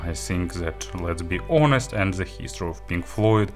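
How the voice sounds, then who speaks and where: A man giving a spoken audio gear review, phrasing things haltingly but with enthusiastic emphasis. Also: low-pitched, expressive, a little mellow, faint music behind.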